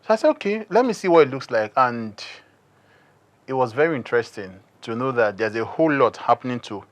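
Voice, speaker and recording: A man's voice: medium pitch, animated, a little fast. Nigerian man explaining in a studio interview. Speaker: stage director, interview guest.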